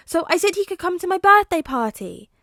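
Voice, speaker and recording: A woman voicing an excitable little boy who chatters eagerly and gushes. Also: brisk, no room sound, studio quality, exaggerated voice. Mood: excited. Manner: breathless, gushing, high-pitched.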